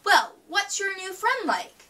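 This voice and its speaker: A woman's voice: extremely high pitch, big pitch swings, slow, sharp tone, far from the mic, voice pushed up, exaggerated voice. A woman voicing a kindly, attentive mother bear in a children's storybook. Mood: pleased.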